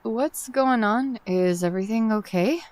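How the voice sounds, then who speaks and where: A woman's voice: fairly high pitch, unhurried, a little mellow, dry recording, natural voice. A woman playing a weary, polite visitor with a hesitant, downbeat manner in an audio drama. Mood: hesitant, concerned.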